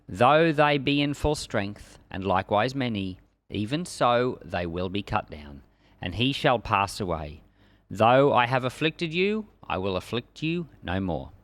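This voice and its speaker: A man's voice: medium pitch, fairly bright tone, no echo. A man preaching and teaching from the Bible in an emphatic, conversational way. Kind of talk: Bible reading and informal expository sermon.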